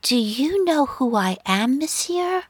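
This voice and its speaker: A woman's voice: high voice, big pitch swings, slow pace, breathy edge, no room sound, voice pushed up, heavily acted. A woman voicing a haughty, indignant French woman in an audiobook, with a French accent.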